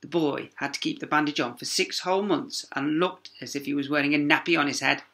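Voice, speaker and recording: A man's voice: on the high side, bright, forceful tone. A man reading a children's story aloud like a primary teacher, dramatic and emphatic. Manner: dramatic, with emphatic pauses and sound words.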